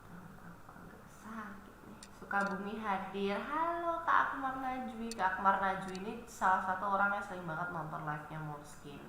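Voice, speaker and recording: A woman's voice: medium pitch, normal speed, few pauses, sharp tone. A woman hosting a live stream with a stuffy nose from a cold, chatting casually and greeting viewers by name as she reads their comments aloud. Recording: very echoey room with noise.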